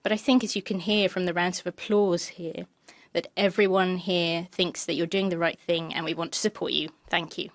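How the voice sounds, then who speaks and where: A woman giving live interpretation of a parliamentary committee speech, in a steady, measured delivery. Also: fairly low pitch, a little hiss or hum. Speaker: simultaneous interpreter.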